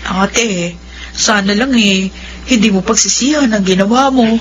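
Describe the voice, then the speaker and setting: An actress playing a concerned, frank younger sister in a radio drama, chiding and earnest. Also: female voice, medium pitch, measured pace, slight background noise, natural voice. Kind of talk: Filipino radio drama acting.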